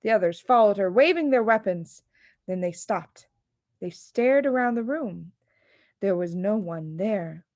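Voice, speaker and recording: A woman reading a children's story as an expressive audiobook narrator. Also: medium pitch, measured pace, no echo. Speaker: audiobook reader.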